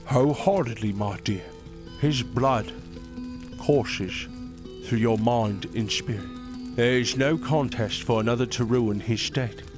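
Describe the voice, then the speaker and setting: An actor playing a loyal, gentle royal adviser to a young queen, speaking formally and solemnly. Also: mid-range voice, measured pace, lightly acted. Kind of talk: fantasy audio drama acting. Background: music.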